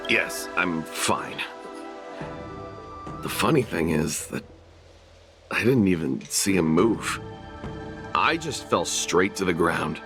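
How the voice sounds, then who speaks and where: A dazed soldier recounting something strange in a video game. He speaks slowly in a fairly low voice with dramatic swings of pitch, bewildered throughout. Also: animated, music in the background, exaggerated voice. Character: bewildered male soldier telling a strange tale.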